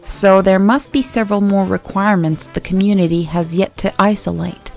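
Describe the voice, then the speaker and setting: A woman reading a scripted creepypasta as narrator, in a measured, expository read-aloud delivery. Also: lowish voice, a little mellow. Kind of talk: scripted horror story narration. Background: background music.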